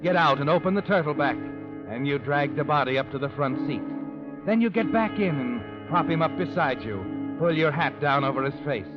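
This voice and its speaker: A sinister, all-knowing storyteller, performed by a man in 1940s radio noir style. His slightly high voice is ominous and insinuating, set against clear music on a dull old recording. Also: expressive, normal speed, natural voice. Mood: ominous, suspenseful. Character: sinister all-knowing male narrator haunting a guilty man.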